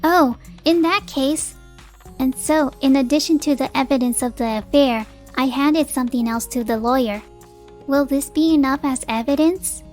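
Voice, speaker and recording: A woman's voice: high-pitched, moderate pace, a little mellow, faint music behind, close to an everyday voice. Woman acting resolute young mother in a manga drama. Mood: composed. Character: wronged young mother, resolute wife divorcing her cheating husband. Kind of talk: scripted first-person narration, manga dub.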